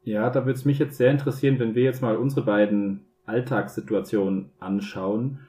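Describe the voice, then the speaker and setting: A man's voice: fairly low pitch, steady tone, fairly quick, over music. A young man from Bavaria who talks thoughtfully in a reflective conversation and raises open questions. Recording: echoey room.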